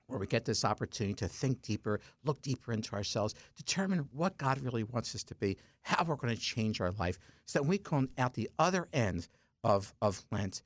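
A man's voice: medium pitch, animated, a little fast, no room sound, studio quality. A man in his sixties, a deacon guest on a radio talk segment, chatty and self-mocking, telling stories with frequent stumbles and restarts. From South Jersey, US. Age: 60s.

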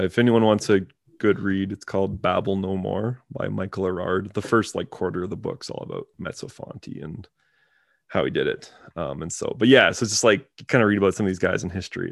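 Man in casual podcast chat, gravelly, fairly low voice, dry close sound, rambling. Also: no room sound. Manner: loose, rambling with many fillers. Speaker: podcast co-host.

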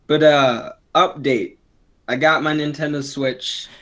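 A man's voice: a little high, steady tone, slow pace, fairly bright tone. A man of twenty co-hosting a casual podcast, talking in a rambling way with many restarts and fillers. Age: young adult. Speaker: podcast co-host. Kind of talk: casual chat.

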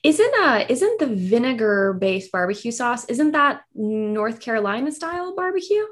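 A woman's voice: a little high, big pitch swings, normal speed. Chatty woman hosting a podcast. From southern Virginia. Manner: chatty, laughs often. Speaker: podcast host. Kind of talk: casual podcast chat.

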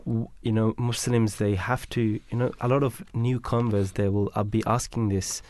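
A man's voice: on the low side, little pitch movement, normal speed, darkish tone, dry recording. A man whose mother tongue is Bangla explains his points at length in a casual radio discussion, with many fillers and restarts.

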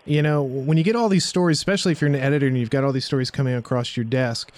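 A man's voice: mid-range voice, rapid-fire, a little mellow, no room sound. A man, hosting a podcast in a conversational interviewing style. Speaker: podcast host. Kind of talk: conversational interviewing and show outro.

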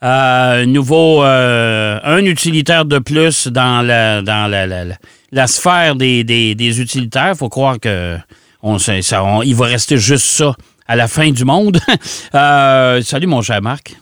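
A man's voice: mid-range voice, slow pace, fairly bright tone, clean tone, dry recording, clean studio sound. A man with a Québécois accent hosting a radio show, talking loosely with fillers and quips. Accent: Québécois French. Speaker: radio host. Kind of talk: casual radio chat.

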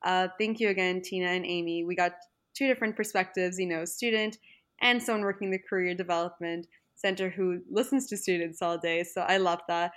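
A woman's voice: fairly low pitch, fairly even intonation, a little fast, fairly bright tone. A woman podcast host closing the episode, friendly and effusive in her thanks. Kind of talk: podcast wrap-up.

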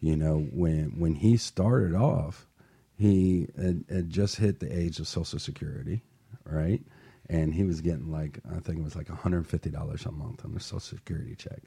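A male talk show host with a very low voice. He tells stories in a loose, chatty way and keeps asking "right?" to check in. His tone is a little husky. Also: moderate pace, mellow. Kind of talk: casual talk show storytelling.

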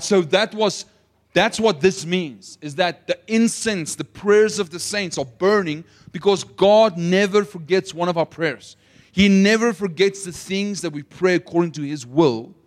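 A young South African man preaching a sermon, telling a story with swings between loud emphasis and quiet asides. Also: fairly high pitch. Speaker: pastor.

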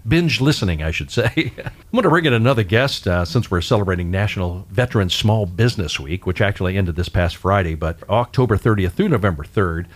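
A man's voice: low-pitched, animated, a little fast. A man hosting a weekly public affairs radio show, chatting and interviewing guests in an upbeat way. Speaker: radio host.

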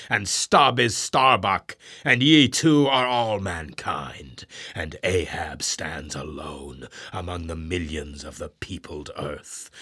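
A man voicing a grim, imperious old sea captain who swings from booming orders to hushed brooding. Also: husky, dry recording, clean studio sound, heavily acted. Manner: stern, booming commands then hushed brooding.

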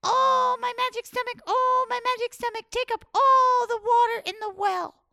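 A woman's voice: extremely high pitch, slow, no room sound, voice pushed up, full character voice. A woman crowing as a cheeky little rooster. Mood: panicked. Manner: sing-song crowing, squawky and excited. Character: plucky little male rooster, cheeky and boastful.

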